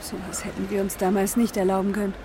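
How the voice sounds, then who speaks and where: An actress playing a sober, pragmatic middle-aged wife in a naturalistic radio drama, giving terse, matter-of-fact replies. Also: female voice, mid-range voice, flat delivery, fast talker, natural voice. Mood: exasperated. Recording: urban traffic ambience.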